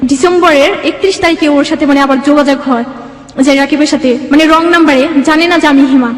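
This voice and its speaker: Fast-talking high-pitched young woman in echoey room. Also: steady tone. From Bangladesh. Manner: rambling, quotes dialogue as she narrates. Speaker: interview guest. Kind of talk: personal life story told in an interview. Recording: echoey hall-like reverb.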